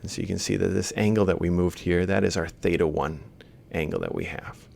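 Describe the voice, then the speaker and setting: A man giving an informal, step-by-step lecture, explaining haltingly as he works through examples. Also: low voice, fairly even intonation, gravelly, a little mellow. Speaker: university robotics lecturer. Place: screen-recorded lecture voice-over.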